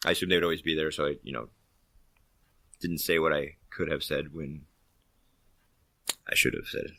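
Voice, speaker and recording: A man answering hesitantly, with halting restarts. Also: very deep voice, steady tone, creaky, pushed and bright, dry recording. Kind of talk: personal answer, reflective.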